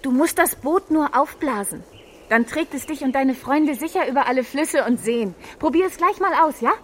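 A woman's voice: high voice, animated, moderate pace, a little hiss or hum. An actress playing a cheerful, meddling female balloon adventurer in a children's audio play, chatty and encouraging, explaining things to young listeners.

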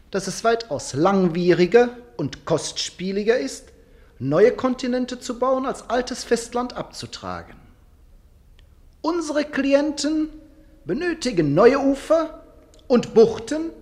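An actor playing a suave, menacing male legal advocate, giving a persuasive formal oration in a radio play. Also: sits high. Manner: rhetorical, persuasive, veiled menace.

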